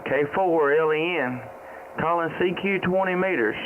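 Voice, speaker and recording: A man with a Southern US accent speaking on a ham radio live stream in a halting, easygoing, folksy way. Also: a little high. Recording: muffled phone-like audio with faint noise.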